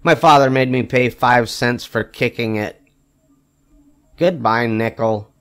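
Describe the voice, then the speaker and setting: An older man reading a children's picture book aloud expressively, with emphatic phrasing and drawn-out wry punchlines. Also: medium pitch, fairly bright tone, clean tone. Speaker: storybook reader.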